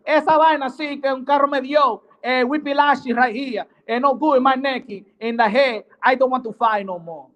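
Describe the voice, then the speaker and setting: Man putting on shrill, flustered crash victim. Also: normal speed, few pauses, lo-fi sound. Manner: broken, repetitive, excitable, comic.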